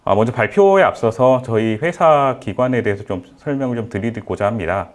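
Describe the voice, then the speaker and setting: A man giving a formal conference presentation as an invited speaker, polite and measured, often starting phrases with small fillers. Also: medium pitch, expressive. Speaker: guest speaker at a seminar.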